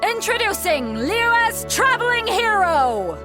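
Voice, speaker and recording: A woman's voice: very high-pitched, big pitch swings, takes it slowly, music in the background, exaggerated voice. A woman playing a bold, self-assured female sea captain in a video game, commanding, brash and theatrical. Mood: rousing announcer. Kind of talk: video game cutscene acting.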